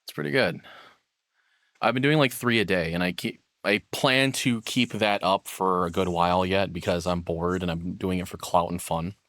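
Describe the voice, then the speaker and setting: A man's voice: sits low, fairly even intonation, normal speed, fairly bright tone, no room sound, clean studio sound. A man hosting a livestream, chatting casually to viewers in a rambling, self-deprecating way. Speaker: livestream host. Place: own room. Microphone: processed streaming microphone, close and dry.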